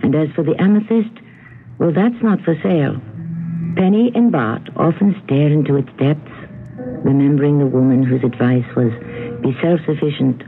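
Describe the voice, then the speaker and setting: Male narrator, measured and portentous, slightly high pitch, vintage radio host, music underneath. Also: gravelly. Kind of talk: dramatic scripted narration. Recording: thin lo-fi 1970s radio broadcast.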